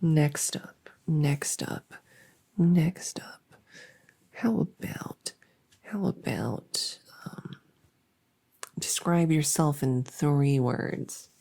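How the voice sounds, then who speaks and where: Girl doing a nervous lady monster under the bed, slow n rambly, low creaky soft voice, asmr roleplay. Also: heavily acted. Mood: hesitant, affectionate. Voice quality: soft, often slipping into whisper. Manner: rambling, nervous, stammering and repeating herself. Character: anxious, overworked, friendly female monster under the bed. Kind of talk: whispery comedic ASMR audio roleplay.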